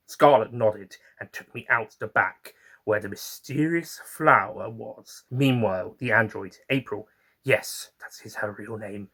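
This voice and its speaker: A man's voice: mid-range voice, bright, forceful tone, husky, full character voice. A man playing an eccentric, chatty time-traveller who narrates his own adventures in an audio story with theatrical, fond asides.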